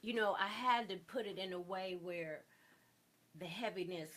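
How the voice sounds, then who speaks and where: A woman's voice: mid-range voice, normal speed, fairly bright tone. A woman talking with impassioned emphasis as a guest in a panel interview, often repeating phrases to drive a point home. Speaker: stage play director, interview guest.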